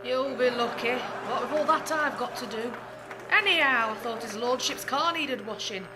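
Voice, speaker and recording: High-voiced, saucy British wartime cook played by an actress. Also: female voice, normal speed, background noise, close to an everyday voice. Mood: teasing, firm. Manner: teasing, scolding banter. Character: brisk, cheeky wartime cook in a comic radio play. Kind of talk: 1940s comic radio play acting.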